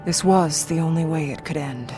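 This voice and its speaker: A low-voiced warrior woman, played by an actress, speaks with grim, stoic honor in a fantasy game cutscene. Her delivery is solemn and declarative, and music plays clearly behind her. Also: moderate pace, lightly acted. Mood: somber. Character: grim, honor-bound female Norn warrior avenging her family. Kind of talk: dramatic video game cutscene acting.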